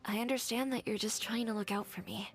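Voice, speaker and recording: A woman voicing an earnest, soft-spoken young woman in a video game. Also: on the high side, steady tone, fast, faint music behind, natural voice. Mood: calm, reassuring. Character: earnest young woman clinging to a comforting dream. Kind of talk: video game character acting.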